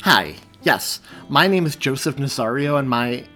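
A man's voice: mid-range voice, expressive, unhurried, sharp tone, soft background music, pitched above the performer's own voice. A man voicing an anxious, flustered young man in an audiobook, hesitant and easily exasperated. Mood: urgent.

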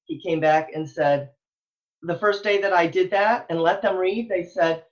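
A woman's voice: fairly low pitch, unhurried, highs cut off. A middle-aged American woman talking enthusiastically in an interview, earnest and emphatic, often repeating phrases as she goes. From Texas, United States. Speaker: elementary school librarian, interview guest.

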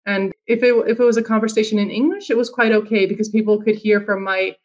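Flat-toned Finnish woman, American accent. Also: medium pitch, fast talker, lo-fi sound. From Finland. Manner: rambling, with frequent restarts and fillers. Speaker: guest. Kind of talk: casual conversation.

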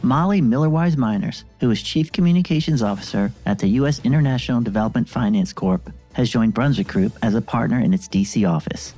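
A man with a dark, mellow, medium-pitched voice reads a scripted news roundup as presenter. Faint music plays behind him. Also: fairly quick, few pauses. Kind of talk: scripted industry news reading.

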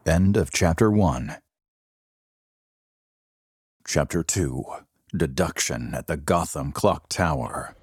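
An actor as the narrator of an audio drama, a husky man delivering solemn, dramatic scripted narration. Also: a bass voice, animated, unhurried, no echo, clean studio sound, full character voice. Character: solemn, dramatic male storyteller of superhero adventure.

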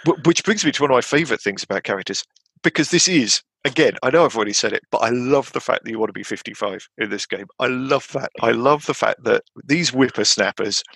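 Gravelly man in his fifties, rambling chat. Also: quick pace, dry recording. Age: 50s. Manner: rambling with many fillers and restarts, animated jumps in pitch. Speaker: podcast guest. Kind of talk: casual chat about tabletop games.

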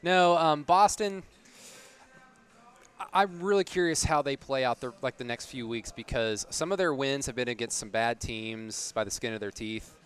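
A man giving measured, analytical commentary in a casual sports discussion as a podcast panelist. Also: mid-range voice, clear, resonant tone.

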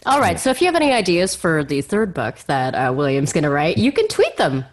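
A woman speaks as a podcast host, with a pitch that moves around animatedly. Her delivery is effusive and expressive, suited to chatting with a guest and addressing listeners. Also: brisk. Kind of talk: warm interview chat and show sign-off.

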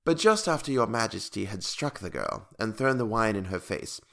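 A man voicing a meek, abstracted dwarf court jester in a storybook, quiet and hesitant in manner. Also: mid-range voice, big pitch swings, unhurried, gravelly. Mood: tranquil. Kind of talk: storybook narration character voice.

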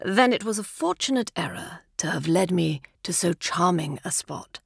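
A woman voicing a diffident, courteous gentleman in his thirties for an audiobook, measured and politely guarded. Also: fairly low pitch, lively intonation, gravelly, slightly rough, dry recording, studio quality, exaggerated voice. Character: reserved, evasive gentleman of thirty-five, mildly courteous.

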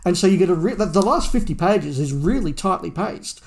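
A man's voice: sits high, expressive, brisk, gravelly, a little mellow. Man giving an emphatic, opinionated review. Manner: opinionated, analytical, emphatic repetition. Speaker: podcast co-host. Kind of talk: casual book review discussion.

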